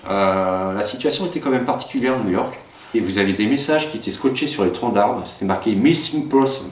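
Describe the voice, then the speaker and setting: Deep-voiced middle-aged man, hesitant and reflective. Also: a little hiss or hum. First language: Russian. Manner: hesitant, many pauses and fillers. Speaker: teacher being interviewed. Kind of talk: reflective personal recollection. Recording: roomy, distant mic with faint ambience.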